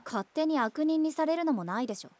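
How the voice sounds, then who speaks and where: An actress voicing a blunt, irritable teenage schoolgirl in a voice drama, speaking in a sharp-tongued way. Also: high-pitched, animated, fast. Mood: irritated. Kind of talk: amateur voice drama.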